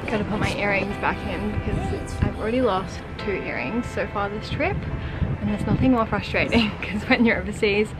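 A woman in her twenties with an Australian accent and a slightly raspy voice, chatting casually to camera as a travel vlogger. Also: mid-range voice, expressive, moderate pace, loud music behind the voice, low-quality recording. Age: 20s. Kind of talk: casual vlog chat to camera. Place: holiday apartment balcony, kitchen and seaside terrace.

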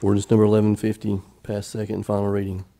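The low, level voice of a man at the center of a town dais, moving the agenda along. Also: completely flat delivery, very fast, creaky, dark tone. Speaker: mayor chairing the meeting. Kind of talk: formal procedural chairing. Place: council chamber. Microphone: desk microphone on the dais.

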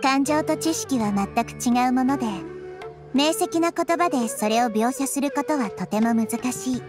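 Child-like deity played by a woman, anime game: high-pitched, gentle, thoughtful, measured delivery, music behind. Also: natural voice. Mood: reflective. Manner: measured, soft-spoken, reflective. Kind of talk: Japanese anime game voice acting.

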